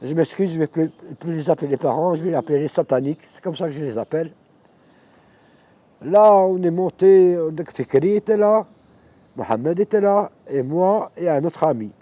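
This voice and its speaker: A man in his fifties from Morocco, an Arabic speaker, telling his life story in a reflective, halting way. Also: fairly high pitch, warm tone, no room sound. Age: 50s. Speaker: interviewee. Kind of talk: personal life testimony.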